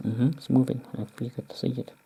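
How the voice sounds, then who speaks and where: A very dark, mellow voice with a husky, creaky grain gives a casual running commentary. The delivery is halting and muttered, broken by frequent throat-clearing. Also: medium pitch, flat delivery, rough recording. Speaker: video maker demonstrating close-up specimens.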